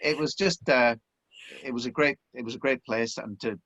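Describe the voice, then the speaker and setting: A man's voice: medium pitch, normal speed, fairly bright tone, dry recording. A middle-aged man from Belfast with a Belfast accent, an author talking chattily about his past in an interview. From Northern Ireland. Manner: chatty and self-deprecating, with frequent restarts. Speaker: author and former broadcast journalist. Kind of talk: relaxed interview chat.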